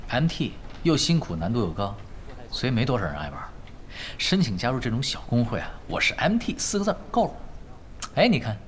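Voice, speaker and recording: A man's voice: medium pitch, very animated, fairly quick, a little hiss or hum, close to an everyday voice. A man playing an easygoing, cheeky young gamer in a Chinese audio drama, speaking in a nonchalant, teasing way. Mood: relaxed explaining. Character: young male gamer tank player, easygoing, cheeky, unbothered.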